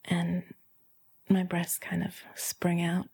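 A woman with a breathy, often whispered voice, softly and haltingly narrating an intimate first-person erotic roleplay straight to the listener. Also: fairly low pitch, monotone. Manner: soft, halting, confiding delivery. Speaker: audio erotica performer.

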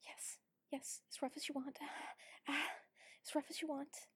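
A woman's voice: high-pitched, level tone, a bit bright. A woman playing a submissive, teasing warrior lover in an intimate adult audio roleplay, whispery and pleading. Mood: urgent, pleading. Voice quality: breathy, whispery. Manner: slow, pleading, coaxing.

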